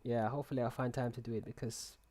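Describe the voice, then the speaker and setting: A man's voice: medium pitch, level tone, quick pace, darkish tone. A young man hosting a live trading stream in casual chat, reading and answering viewer comments with many restarts and repeated words, speaking into a headset in a small room.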